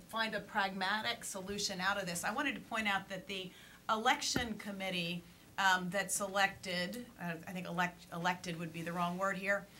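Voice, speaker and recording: Bright-voiced woman on a panel. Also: medium pitch, a little hiss or hum. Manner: thoughtful, pauses with fillers and restarts. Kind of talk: panel discussion.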